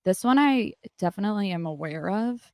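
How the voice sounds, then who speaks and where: A woman's voice: mid-range voice, animated, a little fast, a little mellow, no room sound, studio quality. A woman chatting informally as she hosts a casual livestream, often jumping in with short conversational remarks. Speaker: content creator and show host.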